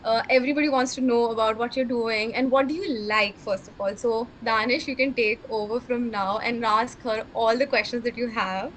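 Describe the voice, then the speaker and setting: College girl host, high-ish voice, low hum in background. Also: fairly even intonation, moderate pace, hardly any pauses, a bit bright, rough recording. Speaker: student interviewer. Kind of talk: hosting a college talk show.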